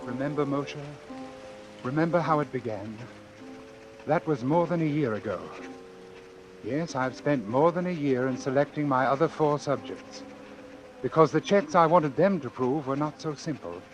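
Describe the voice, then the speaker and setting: An actor playing a coolly confident, obsessive doctor in a vintage radio suspense drama, speaking formally and precisely. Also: male voice, fairly high pitch, lively intonation, moderate pace, music in the background, lightly acted, low-quality recording. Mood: measured, recounting. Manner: formal, precise, self-assured. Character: coolly confident, obsessive male doctor running a deadly experiment. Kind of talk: old-time radio suspense drama acting and narration.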